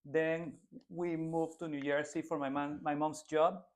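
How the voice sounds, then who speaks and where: A man's voice: a little high, level tone, a little slow. A man in his twenties from Venezuela, a native Spanish speaker with a Venezuelan accent, answering interview questions earnestly with frequent restarts as a political candidate.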